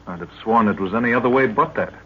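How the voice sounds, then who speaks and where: An actor playing an earnest, devoted young adventurer in old radio style, polite and full of old-fashioned exclamations. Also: male voice, fairly low pitch, fast, close to an everyday voice. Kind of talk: 1940s radio acting. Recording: muffled, noisy old radio recording.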